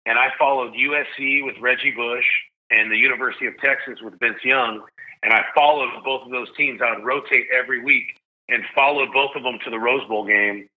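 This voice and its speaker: A man's voice: mid-range voice, fairly quick. Chatty man on a tinny line. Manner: energetic, chatty, says "dude" and "like" often. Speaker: podcast guest, sports announcer. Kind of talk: casual storytelling interview. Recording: narrow phone-like sound.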